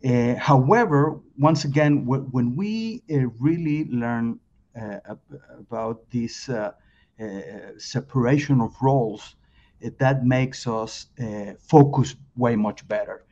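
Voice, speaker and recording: Mexican-accented man, halting with fillers. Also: mid-range voice, darkish tone. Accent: Mexican Spanish. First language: Spanish. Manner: halting, many fillers and repeated words. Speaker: guest sales trainer. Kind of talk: podcast interview. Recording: slightly dull lo-fi sound.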